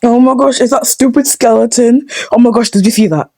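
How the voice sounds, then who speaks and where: A woman's voice: a little high, fairly quick, mellow, slight background noise. A woman talking casually to herself in short fragments while she works, now and then humming. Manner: fragmented, humming and vocalizing between short remarks. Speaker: hobby comic dub creator. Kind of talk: casual muttering to self while editing.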